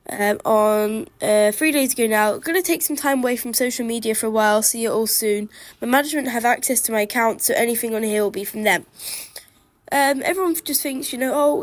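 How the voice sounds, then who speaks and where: A woman hosting a solo podcast outdoors, chatting eagerly through a cold that leaves her bunged up. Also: on the high side. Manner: chatty, enthusiastic darts fan. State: congested from a cold. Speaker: podcast host.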